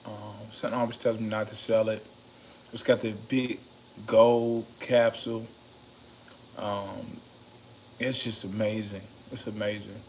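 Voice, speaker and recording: A raspy-voiced man rambling casually about microphones, slow and meandering, with many ums and a slightly loaded, loose delivery. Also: on the low side, little pitch movement, darkish tone, a little hiss or hum, rough recording. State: a bit intoxicated. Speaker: home studio vlogger reviewing microphones. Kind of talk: rambling casual talk to camera.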